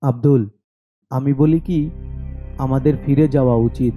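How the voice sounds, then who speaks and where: A man's voice: medium pitch, steady tone, measured pace, phone-quality sound, soft background music, pitched below the performer's own voice, natural voice. A man voicing a timid, doubtful young apprentice magician in a horror cartoon, hesitant and pleading. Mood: worried.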